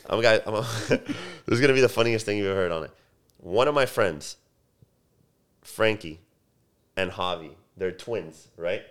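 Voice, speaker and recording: A young man with a deep voice, chatting loosely as a podcast guest with lots of fillers and restarts. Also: normal speed, pauses often, clear, resonant tone. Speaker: podcast guest, soccer field owner. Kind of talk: casual podcast chat.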